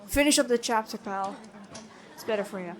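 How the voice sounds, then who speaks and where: A woman playing a gentle but stern, bookish female prison guard in a fantasy ASMR roleplay, chatty and inquisitive. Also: a little high, fairly quick, noisy background, close to an everyday voice. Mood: stern, considerate. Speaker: ASMR voice actor.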